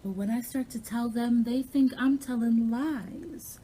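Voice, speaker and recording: A woman's voice: on the high side, fairly even intonation, a little slow, dark tone, husky, low-quality recording. A woman performing poetry dramatically, with a sassy, self-assured, theatrical delivery. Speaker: poetry reader, actor.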